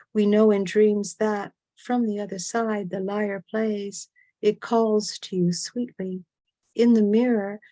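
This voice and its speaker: A woman reading poetry aloud in a deliberate, incantatory manner, in short phrases with pauses between them. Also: medium pitch, dark tone, no room sound. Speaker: poet reading own work.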